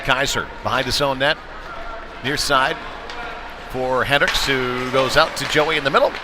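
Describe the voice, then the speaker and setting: Male American sports commentator, mid-pitched voice, live hockey call, conversational, recorded amid heavy arena noise. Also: steady tone, normal speed. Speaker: play-by-play commentator. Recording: loud rink noise behind the voice. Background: noisy indoor ice rink with bangs.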